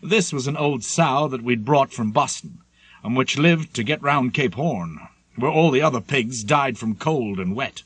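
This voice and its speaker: A man narrating a seafaring memoir as an audiobook, reading scripted prose in a measured, literary storytelling manner. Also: medium pitch, lively intonation, a bit bright, slightly rough, dry recording.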